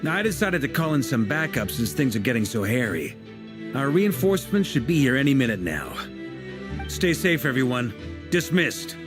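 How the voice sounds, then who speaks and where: A man's voice: mid-range voice, sing-song, moderate pace, music in the background, lightly acted. An actor voicing a composed male leader in a game, wry and commanding as he assigns tasks. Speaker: leader planning the team's next moves.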